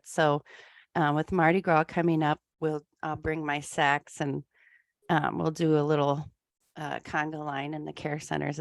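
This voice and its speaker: A woman from Iowa with a low, gravelly voice, answering questions in an easygoing way and often pausing with "um." The sound is dry and close. She is a music therapist talking with an interviewer. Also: no echo. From Iowa, USA. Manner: easygoing, frequent ums, light laughs.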